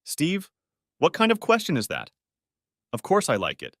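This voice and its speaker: A man's voice: on the high side, wildly swooping pitch, normal speed, a bit bright, no echo, clean studio sound, voice pushed up, exaggerated voice. A man putting on a whiny, condescending middle-aged wife. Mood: impatient.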